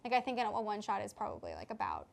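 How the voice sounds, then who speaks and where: A woman's voice: medium pitch, wildly swooping pitch, rapid-fire, creaky. A talkative woman, a tabletop player, thinking aloud in a casual post-game chat.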